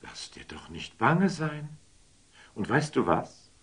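A generous, impulsive sultan in classic radio drama verse. His husky voice takes its time, coaxing and playful, swinging widely from murmur to exclamation. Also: mid-range voice, big pitch swings, takes it slowly, fairly bright tone, full character voice.

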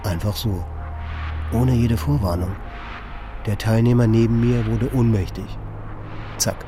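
An actor playing a darkly exalted man who recounts events in deliberate short phrases, as dramatic first-person radio play narration. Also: on the low side, fairly even intonation, natural voice. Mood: intense, awed. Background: loud music.

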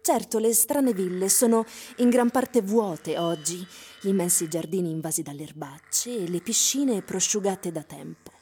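An actress playing a knowing narrator in a literary radio drama, measured and storytelling, with a husky, mellow voice. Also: female voice, fairly low pitch, natural voice. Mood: wistful, descriptive. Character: wry, knowing female narrator of surreal fiction.

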